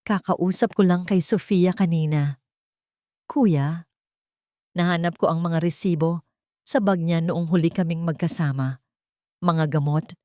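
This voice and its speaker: A woman's voice: lowish voice, mellow, no echo, lightly acted. A woman plays a panicky younger sister in an audio drama. She sounds urgent and tearful, anxious to be heard, in a dry recording. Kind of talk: romance audio drama acting.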